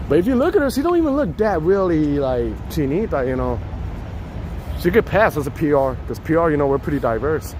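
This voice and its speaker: A man's voice: fairly high pitch, big pitch swings, brisk, over music. A man with a Puerto Rican accent hosting a casual live walking tour outdoors, chatting with viewers on a phone mic as he goes. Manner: chatty, reads out viewer names. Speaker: live-stream host. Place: outdoors on city streets. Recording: loud street traffic noise.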